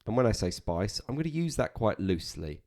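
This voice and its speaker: Man, very deep voice, casual podcast co-host, jokey banter. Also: very animated, brisk, darkish tone. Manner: joking, self-deprecating banter. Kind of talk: casual chat.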